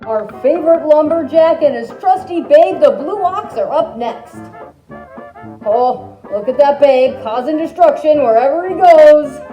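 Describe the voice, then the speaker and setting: A woman's voice: high-pitched, lively intonation, unhurried, dull audio. A woman playing a sly, hungry wolf posing as an old granny, giving theatrical, wry commentary in a fairy tale stage skit. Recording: roomy echo, music behind.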